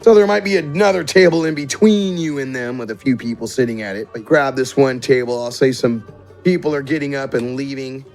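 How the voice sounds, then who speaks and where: A man's voice: mid-range voice, fairly even intonation, a little fast, a little mellow. A man running a tabletop role-playing game, improvising scene descriptions in a conversational way. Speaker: game master. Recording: music behind the voice.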